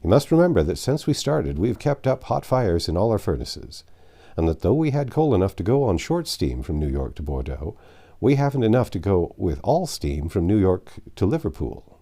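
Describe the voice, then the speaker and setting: A man's voice: deep voice, sing-song, moderate pace, mellow, exaggerated voice. A man voicing an earnest, formal ship's engineer in a storybook reading. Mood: earnest warning. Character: earnest male ship's engineer warning his master. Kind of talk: storybook character dialogue.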